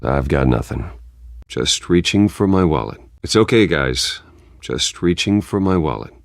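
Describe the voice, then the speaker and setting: An actor playing a composed, guarded billionaire vigilante in a video game, speaking in a measured, serious way. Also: male voice, low-pitched, lively intonation, vocal fry, dry recording, studio quality, heavily acted. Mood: placating. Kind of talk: restrained video game acting.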